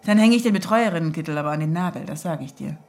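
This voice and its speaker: An actress playing a quick-witted, teasing woman in her thirties in a radio drama, with sardonic comebacks. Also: low voice. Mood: teasing, fond. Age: 30s. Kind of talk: naturalistic radio drama acting.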